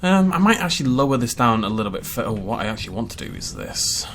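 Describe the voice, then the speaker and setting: A man's voice: mid-range voice, sing-song, fast talker, fairly bright tone, slight background noise. A man giving casual, chatty commentary while building a park in a video game, laughing often and making playful asides. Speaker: game channel host.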